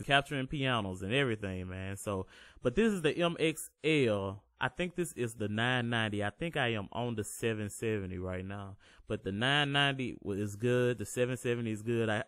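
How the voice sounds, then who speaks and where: Deep-voiced man, laid-back and profane. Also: fairly bright tone, no echo, studio quality. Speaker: home recording tutorial host. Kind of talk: casual slang-filled tutorial voice-over.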